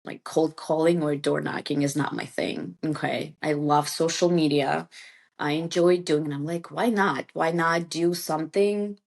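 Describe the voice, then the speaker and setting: A woman's voice: low voice, fairly even intonation, a little slow, gravelly. A woman whose first language is Russian, chatting casually and informally as a real estate agent being interviewed.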